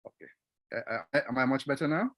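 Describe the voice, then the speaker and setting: A man from Jamaica, a guest on an online interview, telling his life story casually with many pauses and fillers. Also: medium pitch, lively intonation, a bit bright, dry recording. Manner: hesitant, with many fillers and restarts. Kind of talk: casual personal testimony. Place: home. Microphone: video call. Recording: dry video-call audio.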